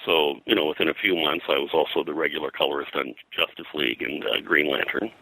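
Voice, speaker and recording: An older American man reminiscing as an interview guest, in a halting, rambling way. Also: a bass voice, sharp tone, no echo, poor audio. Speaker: guest, comics colorist and publisher.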